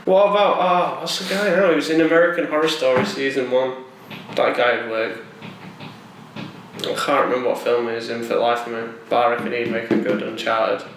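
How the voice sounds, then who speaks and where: A man's voice: medium pitch, fairly even intonation, fairly quick. A man chatting casually as a co-host, rambling and often repeating his words as he feels for what to say. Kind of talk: casual chat about films and games. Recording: very echoey room, distant mic, faint noise.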